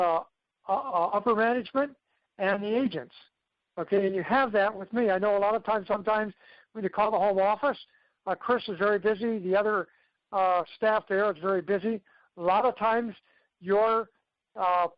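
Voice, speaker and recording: Guy with a pretty high voice coaching his team on a call, instructive, dry muffled lo-fi sound. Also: no room sound. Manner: instructive, repeatedly checking in with okay. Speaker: success coach and mentor leading a team conference call. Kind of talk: motivational training talk on a group conference call. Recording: dull lo-fi conference call audio.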